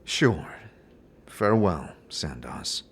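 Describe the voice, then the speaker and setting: A man's voice: a bass voice, lively intonation, slow pace, gravelly, background noise, pitched below the performer's own voice, exaggerated voice. A man playing a brooding, persuasive immortal wanderer in a fantasy audio drama. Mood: earnest, steady.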